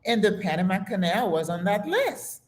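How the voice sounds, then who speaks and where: An older woman from Haiti, a retired nurse, telling stories in a relaxed, casual conversation with many fillers. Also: medium pitch, normal speed, a little hiss or hum.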